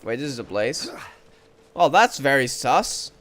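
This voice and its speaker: A man's voice: medium pitch, very animated, unhurried, fairly bright tone. A man giving casual commentary as he plays a game, thinking aloud in short remarks. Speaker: game streamer. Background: game music.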